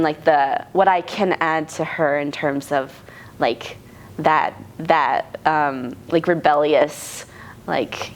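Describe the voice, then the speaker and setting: Slow, soft-pitched young woman actress. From Tucson, Arizona, US. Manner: hesitant, many fillers and restarts, laughs often. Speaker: actress guest. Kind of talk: casual interview talk.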